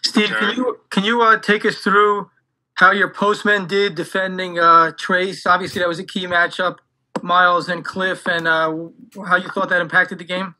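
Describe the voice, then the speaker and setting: A man's voice: high voice, level tone, moderate pace, pushed and bright, poor audio. A man, a reporter, putting a hesitant question to a coach at a press conference. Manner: hesitant, with fillers.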